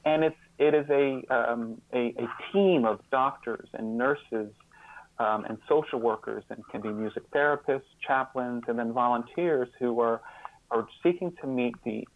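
A man speaking as an interview guest, explaining in a halting way, repeating words and stressing key terms. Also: mid-range voice, phone-quality sound. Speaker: interview guest, author and sociologist.

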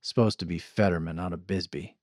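A man voicing a laconic, unhurried wagon driver in a Western story, with a dry, low-key drawl. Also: steady tone, a little mellow, no room sound. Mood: wry, unbothered.

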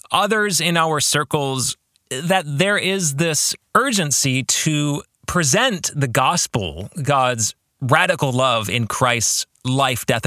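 Bright-voiced, expressive man hosting a podcast. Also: mid-range voice, no room sound, clean studio sound. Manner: earnest, deliberate phrasing with rhetorical questions. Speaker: podcast host. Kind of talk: reflective religious commentary on a book.

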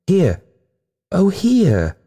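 A man voicing a melodramatic, lovelorn female ghost in a storybook, breathy and wailing, with theatrical old-fashioned romantic exclamations. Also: a little high, sing-song, measured pace, dark tone, voice pushed up, heavily acted. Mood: weak, sobbing.

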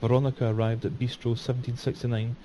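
A man's voice: medium pitch, level tone, quick pace, mellow. A man reading his short story aloud in scripted narration, with some background noise in the recording.

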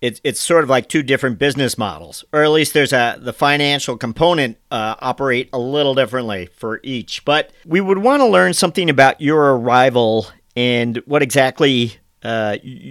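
A man's voice: medium pitch, a bit bright, no echo, clean studio sound. A man hosting an interview, asking long questions with some hesitations. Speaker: podcast host.

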